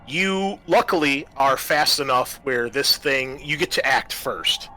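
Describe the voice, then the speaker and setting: A man's voice: mid-range voice, expressive, a little slow, pushed and bright, low-quality recording. A man narrating a tabletop roleplaying game as game master, improvising descriptions and explaining the rules, with music behind him.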